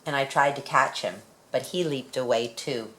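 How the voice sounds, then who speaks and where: A woman with a low-pitched voice reads a picture book aloud for young children. Her delivery is expressive storytelling, in a deep female register. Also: moderate pace, poor audio. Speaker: storybook reader.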